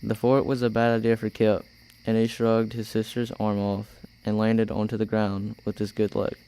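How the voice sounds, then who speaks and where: A man's voice: fairly low pitch, completely flat delivery, normal speed, creaky, warm tone, clean tone, rough recording. A young man reading scripted story narration for an amateur radio play. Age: teenager or young adult.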